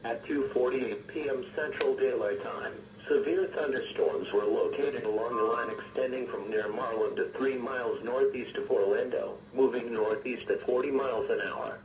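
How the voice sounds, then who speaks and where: A synthetic-sounding man's voice reading formal emergency weather bulletins in a measured, impersonal way, as an automated radio announcer. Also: mid-range voice, recorded from a distance. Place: weather radio broadcast picked up on a receiver. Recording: muffled, noisy, echoey weather radio broadcast.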